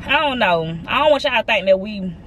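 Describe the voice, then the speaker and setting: A woman of twenty-one talking casually and excitedly to her camera from inside a car, swearing freely. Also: mid-range voice, fairly quick, a little hiss or hum. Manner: chatty, profane, excitable. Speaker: vlogger. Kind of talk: casual vlog chat to camera. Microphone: handheld camera at arm's length.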